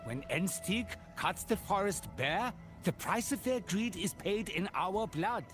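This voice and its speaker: High-voiced, defiant village agitator. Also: male voice, lively intonation, a bit bright, soft background music. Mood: rousing, defiant. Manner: oratorical, deliberate. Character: defiant, impassioned male village rabble-rouser. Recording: game score behind.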